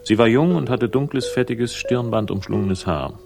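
A man's voice: medium pitch, normal speed, creaky, lightly acted. An actor playing a wry, world-weary male private investigator, narrating dryly in a 1960s German radio crime drama. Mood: deadpan narrating. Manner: dry, wry, ironic. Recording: music behind the voice. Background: music.

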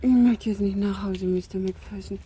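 Breathy, shaken young woman. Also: mid-range voice, fairly even intonation, normal speed, warm tone. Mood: dazed, pleading. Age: young adult. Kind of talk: 1970s German radio crime drama acting.

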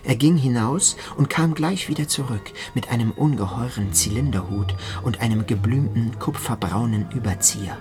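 A man's voice: medium pitch, moderate pace, hardly any pauses. A man narrating a literary radio drama in the first person. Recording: music behind the voice. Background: music.

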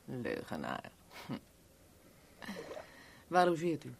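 A woman's voice: very deep voice, wildly swooping pitch, slow pace, vocal fry, slight background noise, full character voice. An actress playing a worldly, sardonic kept woman in a 1970s radio play, with a wry, teasing, blunt way of talking. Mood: teasing, probing.

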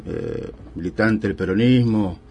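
A man's voice: fairly low pitch, measured pace, a little mellow, a little hiss or hum. A man in his thirties with an Argentine accent, a union leader telling his story in a radio interview with many fillers and restarts. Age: 30s. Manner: rambling, filler-heavy storytelling.

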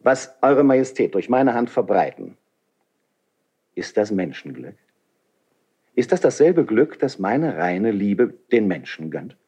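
An actor playing a proud, fervent, idealistic nobleman in a classical verse radio drama, with deliberate declamatory phrasing. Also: lowish voice, big pitch swings, mellow, slightly rough, heavily acted. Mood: fervent, defiant.

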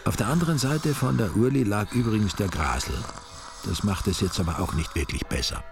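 A wry Austrian storyteller narrates a radio drama in a lowish, creaky voice. His delivery is deadpan and ironic. Music plays clearly underneath. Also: brisk.

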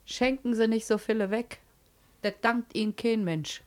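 Actress as a brash Berlin mother, high-voiced. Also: moderate pace, natural voice. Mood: chummy, confiding. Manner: earthy, scolding, switching to chummy coaxing. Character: brash, cunning working-class mother and washerwoman, bossy and wheedling. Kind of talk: naturalistic German radio play acting.

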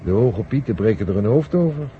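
A man's voice: lowish voice, animated, fairly quick, close to an everyday voice. A man acting a sceptical, teasing former astronaut in a radio drama, often sounding incredulous. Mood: curious, incredulous. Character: sceptical, teasing ex-astronaut, male, old friend. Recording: muffled 1970 radio recording with hiss.

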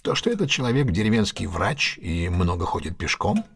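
Male detective, audio drama: low, rough-edged, very expressive. Keen, didactic, faintly superior. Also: a little fast, heavily acted. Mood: teasing, assured. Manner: didactic, theatrical, reasoning step by step. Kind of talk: Russian audio drama acting.

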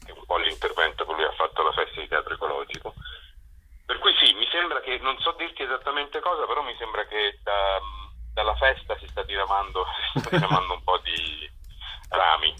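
A man's voice: deep voice, animated, fairly quick, bright, forceful tone, slightly rough. A man giving reflective, hesitant answers in a casual interview, searching for words as he goes. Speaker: translator being interviewed. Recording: dull phone call, lo-fi radio sound.

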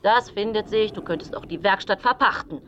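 A man's voice: high-pitched, fairly quick, bright, forceful tone, dull audio, slight background noise. A man playing an old, money-minded, self-pitying widowed father in a radio drama. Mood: pushy. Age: older.

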